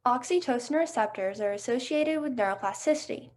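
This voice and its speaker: A woman's voice: fairly high pitch, lively intonation, fairly quick, a little mellow. A young woman from Kentucky presenting part of a student neuroscience proposal, reading steadily and carefully. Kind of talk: read research presentation.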